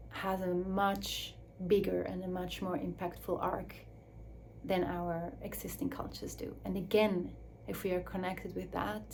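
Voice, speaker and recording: A woman speaking English as a second language in a slow, searching reflective talk, with restarts and soft drops to a near whisper. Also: medium pitch, slight background noise, poor audio. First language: Swiss German or Dutch. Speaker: speaker on her own channel.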